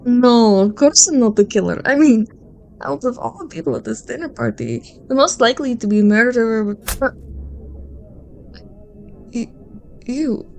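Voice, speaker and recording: A woman playing a distressed, pleading woman detective in an ASMR roleplay, halting and dramatic. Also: a little high, a little hiss or hum. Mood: mocking, amused. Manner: halting, breathy stammering, dramatic.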